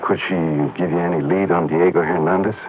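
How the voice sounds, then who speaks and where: An actor playing a calm, gruff man, the detective's colleague, in an old radio crime drama. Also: sits low, fairly quick, close to an everyday voice. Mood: subdued, concerned. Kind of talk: 1950s radio drama acting. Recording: noisy, tinny old radio recording.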